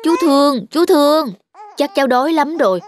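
An actor voicing a down-on-his-luck thief with a soft heart in an animated cartoon, troubled and soothing. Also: very high voice, normal speed, background noise. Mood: pleading, soothing. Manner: troubled, soothing, speaking to a baby. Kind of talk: Vietnamese animated cartoon dialogue. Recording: music behind the voice.

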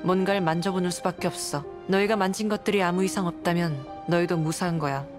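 An actress playing a suspicious young nun in an anime game, cool, blunt and curt. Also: female voice, fairly low pitch, steady tone, quick pace, lightly acted. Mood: detached, dry. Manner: curt, detached, dry. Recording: clean studio voice over background music.

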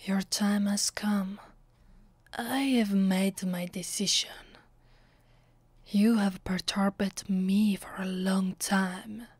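A woman with an Italian accent playing a proud, conflicted vampire mistress in a breathy, whispered ASMR roleplay. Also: medium pitch, level tone, darkish tone, no room sound. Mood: solemn. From Italy. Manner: slow, deliberate, haughty.

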